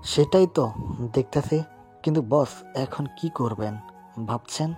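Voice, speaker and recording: A man's voice: mid-range voice, unhurried, warm tone, close to an everyday voice. Man acting loyal gangster henchman over music. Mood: eager, deferential. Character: loyal deferential male gangster henchman, eager adviser. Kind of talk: amateur Bengali audio-story acting.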